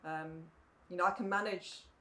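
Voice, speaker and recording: A middle-aged woman, a mother talking reflectively in a casual podcast chat, often pausing with "um" and "you know". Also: on the low side, steady tone, pushed and bright. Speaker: guest, mother of the other guest. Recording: faint background noise.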